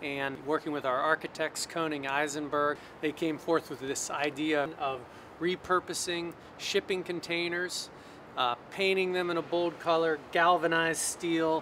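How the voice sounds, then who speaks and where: A man's voice: fairly high pitch, background noise. A man who develops buildings, explaining a project fluently in an interview outdoors in a city alley.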